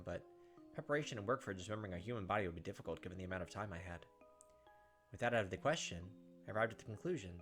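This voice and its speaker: Fast-talking man reading a game story. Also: fairly low pitch, a bit bright. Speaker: let's play host reading a visual novel aloud. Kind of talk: dramatic narration of game text with casual commentary asides. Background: game music.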